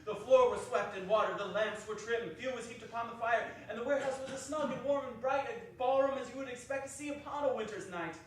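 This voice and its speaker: Male narrator, very high voice, theatrical storytelling, distant, heavily echoing hall. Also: brisk, few pauses, a bit bright. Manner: storytelling, emphatic listing. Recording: noisy echoey hall from far off.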